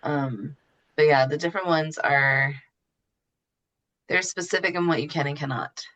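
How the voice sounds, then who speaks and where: Woman with a bright, forceful voice. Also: deep voice, normal speed. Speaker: podcast guest explaining her know-how. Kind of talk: casual explanatory interview talk.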